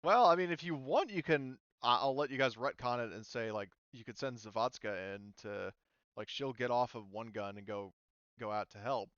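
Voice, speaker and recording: A man acting as game master, casually refereeing a tabletop game and thinking aloud in a halting way. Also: mid-range voice, fairly bright tone, clean tone, no echo, muffled recording.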